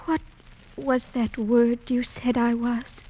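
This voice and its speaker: A woman playing a gentle, shy young village maiden, soft and earnest, in a vintage radio drama. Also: fairly high pitch, flat delivery, slow, poor audio. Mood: shy, tender. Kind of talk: 1940s-50s radio drama acting.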